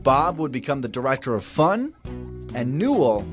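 A man in his thirties narrating a local TV news feature in a bright, lively reporter delivery. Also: mid-range voice, moderate pace, over music.

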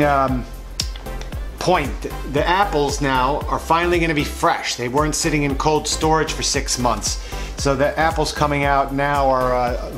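A man's voice: on the high side, a bit bright. A man hosts a vlog, talking casually and hesitantly about supermarket flyers, with many fillers. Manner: rambling, hesitant, full of uh fillers. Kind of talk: casual unscripted commentary. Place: indoors at a table, voice-over to overhead shots. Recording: music playing under the voice. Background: background music.

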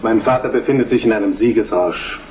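An actor playing an earnest, measured Prussian crown prince in a historical German radio drama, formal and deliberate. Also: male voice, fairly low pitch, a little mellow, dull audio, close to an everyday voice. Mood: earnest, conciliatory. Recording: distant microphone with music behind.

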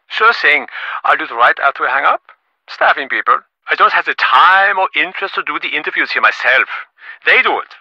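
A man's voice: high voice, pushed and bright, no room sound, dull audio, exaggerated voice. A man voicing a smug, impatient rich businessman in a video game, glib and self-important, with stammering, sarcastic delivery. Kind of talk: acted game dialogue.

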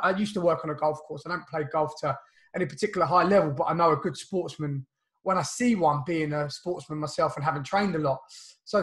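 Croaky-voiced man hosting a video-call podcast. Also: fairly high pitch, a bit bright. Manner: chatty, loose, lots of fillers and restarts. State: croaky voice from illness. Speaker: podcast host. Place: home room, indoors. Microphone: video call webcam.

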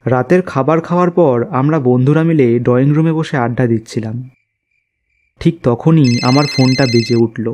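A man's voice: mid-range voice, a little fast, a little mellow, slight background noise. A man narrating a scripted story in the first person.

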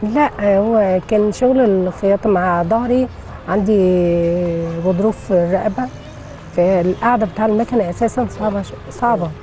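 Middle-aged Egyptian woman, Port Said accent, soft dark tone, casual interviewee, faint street noise behind. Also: medium pitch, normal speed. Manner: plain-spoken, short colloquial answers. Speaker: taxi driver being interviewed. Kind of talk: casual personal storytelling. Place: city street, outdoors.

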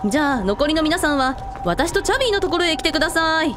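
A woman playing a caring, take-charge shrine priest in an amateur radio drama, brisk, polite and concerned. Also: high-pitched, sing-song. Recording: music behind the voice.

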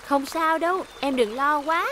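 A woman voicing a kind, reassuring big sister in a children's cartoon, explaining things gently and encouragingly. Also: high-pitched, moderate pace, noisy background, close to an everyday voice. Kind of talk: animated children's series dub.

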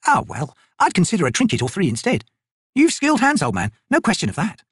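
A tough woman soldier, acted by a man, speaking high and husky with a commanding, blunt edge. She teases as she orders, and her pitch moves freely in this audiobook reading. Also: wildly swooping pitch, fast talker, a bit bright, dry recording, clean studio sound, heavily acted. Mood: teasing, blunt. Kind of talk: audiobook character voice.

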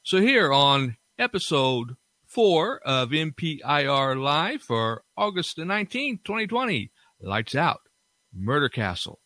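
A man hosting a radio-style podcast informally, with unhurried, halting phrasing. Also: on the high side, big pitch swings, sharp tone, no room sound. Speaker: podcast host.

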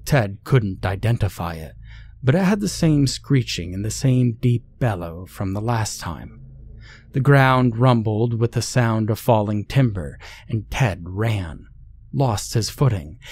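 Male narrator for creepypasta horror fiction, lower-pitched voice, scripted dramatic read. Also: animated, a little slow, a little mellow, slight background noise.

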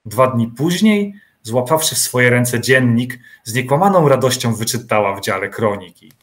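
A man's voice: mid-range voice, lively intonation, normal speed, fairly bright tone. A man reading scripted literary narration as the narrator of an audio drama.

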